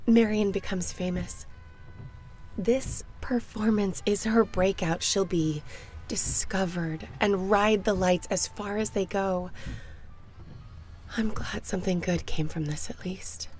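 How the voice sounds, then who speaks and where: Wistful, mid-pitched stage actress played by a woman. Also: fairly even intonation, slow, a little mellow, faint music behind, lightly acted. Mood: bittersweet. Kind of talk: audio drama acting.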